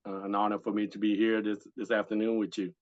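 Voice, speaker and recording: A man's voice: on the low side, flat delivery, fast, no echo, lo-fi sound. A man from Vietnam, a retired Marine, answering interview questions politely and hesitantly, with many fillers, over a video call. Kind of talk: casual interview.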